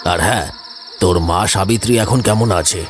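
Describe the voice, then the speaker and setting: A man acts out an older village elder in a horror cartoon. The voice is lowish, grave and stern with concern, over clear music. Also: moderate pace, natural voice. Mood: grave warning. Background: music, insect sounds.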